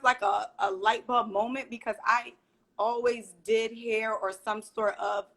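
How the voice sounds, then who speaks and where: A woman's voice: on the high side, fairly bright tone. A woman with an American accent, raised in Philadelphia, chatting in a friendly, informal way as an interview guest. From born in Trinidad and Tobago, raised in Philadelphia, USA. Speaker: interview guest, small business owner and hairstylist. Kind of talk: casual conversation.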